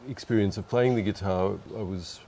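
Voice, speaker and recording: A man, chatting in an interview in a relaxed, thoughtful way. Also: fairly low pitch, normal speed, a little hiss or hum. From Australia. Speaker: guest musician. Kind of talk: casual interview chat.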